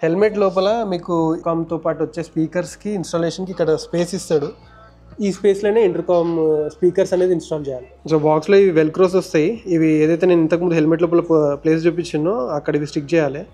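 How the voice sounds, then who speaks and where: A man with a Telangana Telugu accent hosts a casual how-to vlog at a very rapid clip. His voice is fairly high and on the mellow side. He explains each step conversationally, with music behind him. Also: fairly even intonation. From India. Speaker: motovlogger and host. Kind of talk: casual hands-on tutorial vlog.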